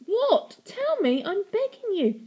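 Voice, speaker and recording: Storybook child woman performer: frightened young girl, high voice, urgent and imploring. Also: sing-song, moderate pace, vocal fry, warm tone, highs cut off, heavily acted. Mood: desperate. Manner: pleading, stammering, urgent. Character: distraught, pleading young girl bedridden in bandages.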